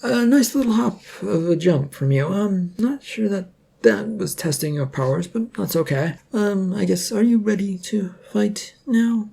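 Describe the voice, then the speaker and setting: Narrator playing a nervous, cheeky, husky underdog hero. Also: mid-range voice, unhurried, mellow, voice pushed up. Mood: hesitant, goading. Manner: wry, wordy, hesitant.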